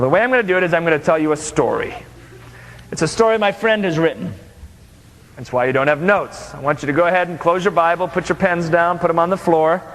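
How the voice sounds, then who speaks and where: A man preaching as a pastor, teaching in a conversational, emphatic way with dramatic pauses. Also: on the high side. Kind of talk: sermon, teaching. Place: large indoor church hall. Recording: echoey hall with long reverb.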